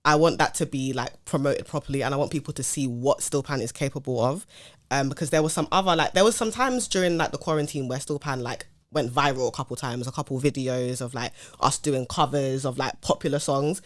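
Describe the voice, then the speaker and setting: Low-voiced woman podcast co-host. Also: brisk. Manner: relaxed, chatty, emphatic. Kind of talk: casual chat.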